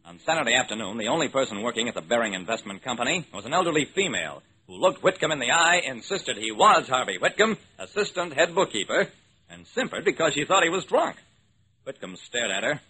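A man's voice: mid-range voice, very animated, fairly quick, bright, forceful tone, breathy edge, full character voice. An actor playing a wry, level-headed investigator, narrating his case in a clipped, matter-of-fact way in an old-time radio drama. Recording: noisy old radio recording.

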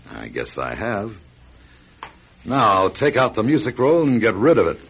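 A man acting a cold, calculating attorney in a radio drama, commanding and deliberate. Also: on the low side, wildly swooping pitch, gravelly, fairly bright tone, slightly rough, heavily acted. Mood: smug. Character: cold, calculating male attorney, controlling schemer. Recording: dull, noisy radio recording.

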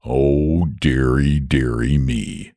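A man voicing a lazy, gentle and cowardly male dragon who speaks in a languid, solemn drawl in a storybook. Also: very deep voice, drawn-out words, dry recording, clean studio sound, pitched below the performer's own voice, heavily acted. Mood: dismayed.

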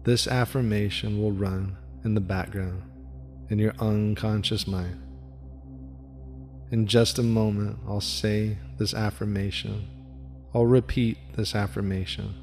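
A man leading a guided meditation in a soft, soothing voice with a slow, repetitive cadence. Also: on the low side, little pitch movement. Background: faint music with gong.

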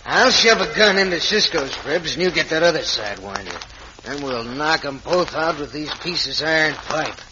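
A man's voice: a little high, lively intonation, moderate pace, background noise, close to an everyday voice. Scheming western mine boss played by a man, American drawl, vintage muffled radio drama. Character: scheming crooked western mine owner, feigning folksy generosity. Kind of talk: old-time radio western acting.

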